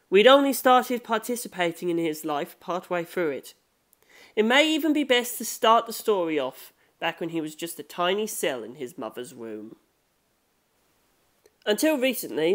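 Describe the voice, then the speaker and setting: A narrator reading a novel aloud in a measured, scripted storytelling manner. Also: mid-range voice.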